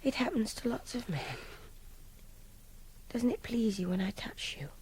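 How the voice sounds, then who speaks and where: An actress playing an articulate young captive in a radio drama, earnest and emotionally direct with a breathy voice. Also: female voice, on the high side, measured pace, darkish tone. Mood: hushed, coaxing. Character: captive young woman, articulate, pleading, frustrated. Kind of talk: intimate radio drama dialogue.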